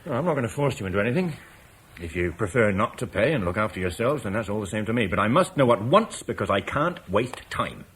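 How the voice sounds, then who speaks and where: A man's voice: lowish voice, big pitch swings, quick pace, a bit bright, a little hiss or hum. A man playing a shrewd British war leader in a radio drama, firm and businesslike. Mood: stern bargaining. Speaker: military commander.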